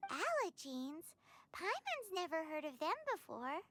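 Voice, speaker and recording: A woman voicing a tiny, childlike fairy companion in a video game, cheeky, excitable and squeaky. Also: unhurried, slight background noise, heavily acted. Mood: curious. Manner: speaks of self in third person, indignant. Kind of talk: scripted video game voice acting.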